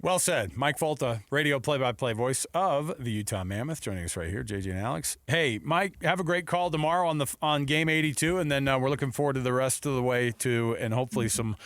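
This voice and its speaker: A man's voice: mid-range voice, a little fast, few pauses, fairly bright tone, no room sound. A man hosting a sports talk show in a casual, conversational style. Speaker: sports talk radio host.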